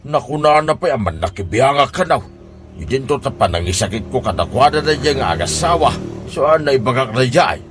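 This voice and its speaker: A man's voice: mid-range voice, lively intonation, brisk, noisy background, natural voice. A man playing a nagging, concerned older uncle in a comedy radio drama. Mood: scolding. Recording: music under the voice. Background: background music.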